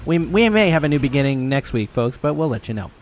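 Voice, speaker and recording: A man's voice: medium pitch, very animated, fast, dull audio, slight background noise. A man with a New York accent and a nasal voice, co-hosting a tech podcast in loose, chatty talk full of fillers. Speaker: podcast co-host. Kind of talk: casual tech podcast chat.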